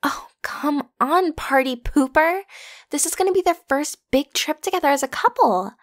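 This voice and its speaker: A woman plays a popular teenage schoolgirl in an intimate ASMR roleplay. Her voice sits fairly high. She speaks in casual, youthful phrases, breezy and pushy, playfully teasing the one person she addresses. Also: normal speed, natural voice. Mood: teasing, coaxing. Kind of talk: ASMR roleplay, one-sided conversational acting.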